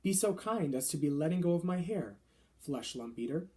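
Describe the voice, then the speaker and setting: Man voicing a gentle, soft-spoken friendly giant. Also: fairly high pitch, expressive, moderate pace, close to an everyday voice. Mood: polite, defensive. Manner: soft-spoken, quaint mangled grammar and nonsense words. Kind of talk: children's storybook reading.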